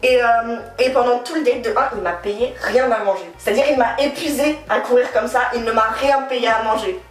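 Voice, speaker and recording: Young woman, talk show guest, higher voice, animated casual storyteller, recorded a bit far from the mic with music behind. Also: a little fast. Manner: animated, slangy French with English phrases mixed in. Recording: roomy echo, distant mic, background music.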